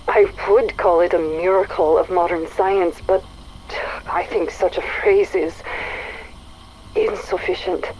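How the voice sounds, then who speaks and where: A woman playing a curious, skeptical and quietly troubled figure in a game audio log, reflective, with hesitant pauses. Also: on the low side, close to an everyday voice, low-quality recording. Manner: hesitant, reflective, pausing mid-sentence. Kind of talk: scripted audio log monologue. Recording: faint noise, recorded audio log.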